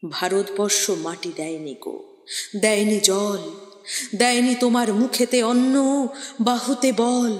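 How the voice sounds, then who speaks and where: A woman giving a dramatic poetry recitation in a theatrical, declamatory manner with deliberate pauses. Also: mid-range voice, steady tone, darkish tone. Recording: echoey, long reverb on voice.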